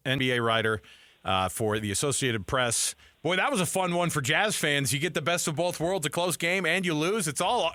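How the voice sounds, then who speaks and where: A man hosting sports radio in casual, animated talk, emphatic and repeating himself for effect on a close studio mic. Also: mid-range voice, no room sound. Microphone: close studio microphone.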